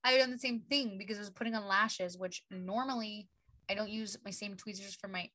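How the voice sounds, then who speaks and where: Brief: raspy young woman co-host, bright and chatty, giggles, close dry mic. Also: mid-range voice, fairly even intonation, fairly quick, no echo. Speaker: podcast co-host. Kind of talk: casual chat.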